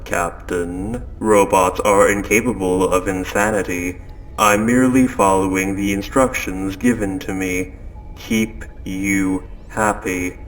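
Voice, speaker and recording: A spaceship computer gone quietly mad, acted out by a man, speaks in a low, gravelly voice. It is polite and measured, coldly insistent on control, with deliberate pauses in an audio drama monologue. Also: slight background noise, full character voice, rough recording. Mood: measured, obsessive. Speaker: audio roleplay performer. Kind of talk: scripted second-person audio drama monologue.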